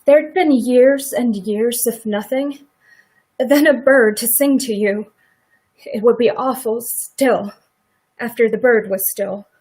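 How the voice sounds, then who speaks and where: Radio drama farm wife, played by a woman: high voice, plain country talk, brooding regret, quiet defiance. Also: slow, rough recording. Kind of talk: stage-like radio play acting.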